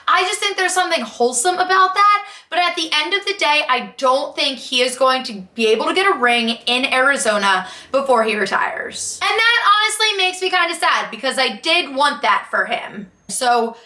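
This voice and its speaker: A young woman giving casual, opinionated sports commentary in a chatty, expressive, emphatic way with slangy asides, recorded in an echoey room at home. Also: high-pitched, moderate pace, hardly any pauses. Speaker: sports vlogger and podcast host.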